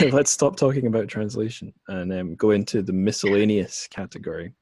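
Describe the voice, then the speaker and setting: A man's voice: lowish voice, normal speed, no room sound. A man hosting a podcast in a casual interview chat, rambling and self-deprecating in a hesitant way. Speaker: podcast host.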